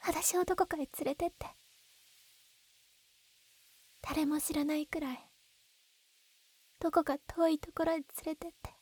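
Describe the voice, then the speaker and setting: A woman playing a gentle, lovesick young woman in an intimate roleplay, speaking softly and breathily up close. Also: high voice, fairly even intonation, normal speed, no echo, natural voice. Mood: desperate, pleading. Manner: soft, breathy, intimate, often trailing into whispers. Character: lovesick young woman, gentle, tearful, pleading with childhood friend. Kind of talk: Japanese ASMR-style situation voice roleplay. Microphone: close binaural dummy-head microphone. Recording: very dry, close binaural recording.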